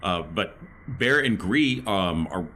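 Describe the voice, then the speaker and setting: A man's voice: low voice, animated, takes it slowly, sharp tone. A man chatting casually as a player in a tabletop role-playing game. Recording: faint background noise.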